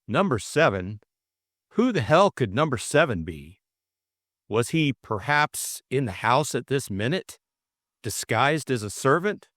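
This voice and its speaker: A man's voice: medium pitch, fairly bright tone, dry recording, clean studio sound. A man narrating a novel as a scripted audiobook, reading expressively.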